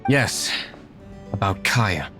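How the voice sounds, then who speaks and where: A man's voice: lowish voice, lively intonation, drawn-out words, pushed and bright, full character voice. A man voicing a reserved, calculating young nobleman in a video game, speaking in a composed, formal manner. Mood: composed, scheming. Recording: music under the voice.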